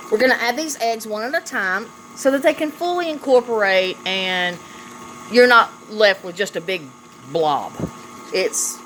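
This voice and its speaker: A woman's voice: medium pitch, fairly bright tone. A woman giving a casual cooking demonstration, chatty and affectionate as she talks directly to viewers. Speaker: home cooking vlog host. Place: home kitchen, indoors. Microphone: phone camera on the counter. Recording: noisy home kitchen with clattering dishes.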